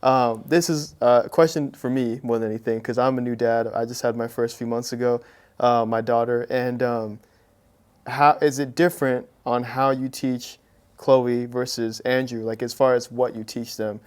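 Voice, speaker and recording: A young man hosting a casual interview, relaxed, asking long questions and answering with short affirmations. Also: medium pitch, moderate pace. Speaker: host.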